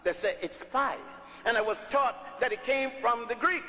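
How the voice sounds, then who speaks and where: A man's voice: extremely high pitch, normal speed, bright, forceful tone. An older man from Puerto Rico giving an emphatic, storytelling public lecture in a hall, repeating words for stress. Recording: echoey hall.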